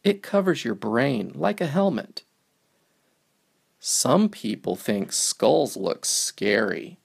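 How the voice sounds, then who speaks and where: A man narrating a picture book for young children, reading it aloud in simple, deliberate phrases. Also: mid-range voice, big pitch swings, gravelly, slightly rough.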